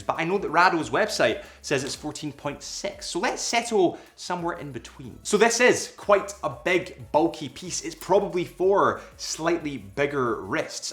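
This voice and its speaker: A man's voice: on the high side, fairly bright tone. A young man with a cold and a slightly nasal voice, chatting casually and a little ramblingly as he reviews a watch to camera. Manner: chatty and rambling, with self-aware asides.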